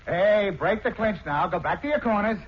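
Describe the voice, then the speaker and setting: Middle-aged joker, high voice, slangy and boisterous, vintage 1940s radio drama, muffled sound. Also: male voice, expressive, normal speed, slight background noise. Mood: mocking, merry. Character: jovial, teasing middle-aged family clown. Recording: muffled, band-limited 1940s radio recording.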